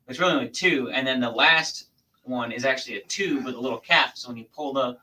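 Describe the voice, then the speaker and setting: A man's voice: medium pitch, fast talker, pushed and bright, recorded from a distance, low-quality recording. Offhand man in a casual chat.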